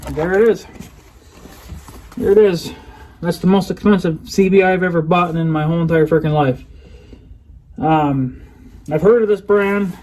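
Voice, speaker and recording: A man's voice: on the high side, a little mellow, low-quality recording. A man casually rambling through a product unboxing vlog, often doubling back and correcting himself in a hesitant, unscripted way. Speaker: vlogger showing new purchases.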